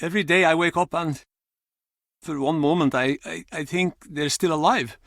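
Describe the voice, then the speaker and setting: A man's voice: a little high, vocal fry, fairly bright tone, no room sound, clean studio sound. An actor playing an embittered, grieving old fisherman in a video game, blunt and theatrical in manner. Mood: sorrowful, remorseful. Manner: theatrical, blunt, halting old-salt turns of phrase. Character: gruff, embittered, grieving old Icelandic fisherman and pub regular. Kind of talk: video game character acting.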